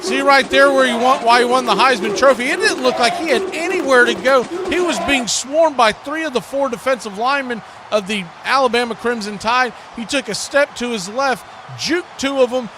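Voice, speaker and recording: A man's voice: extremely high pitch, normal speed, hardly any pauses, background noise. An American man working as a sports host and play-by-play commentator on a live football watch party.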